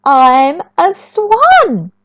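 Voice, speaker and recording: A woman voicing a young male ugly duckling, astonished and excited to find he is a swan in a storybook. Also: very high-pitched, very slow, no echo, dull audio, pitched above the performer's own voice, exaggerated voice. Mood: jubilant shouting. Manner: excited, exclaiming.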